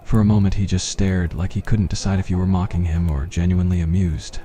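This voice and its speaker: Male narrator, low pitch, dark mellow tone, scripted ASMR storytelling. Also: level tone, a little fast, a little hiss or hum.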